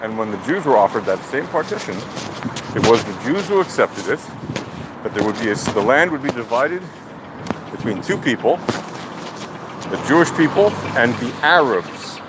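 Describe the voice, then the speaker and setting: A man with a mid-pitched voice delivers an opinionated political monologue. He speaks deliberately and emphatically, in the manner of someone arguing a case off the cuff. Very loud outdoor vehicle noise runs behind his voice. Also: very animated. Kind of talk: opinionated extemporaneous political commentary.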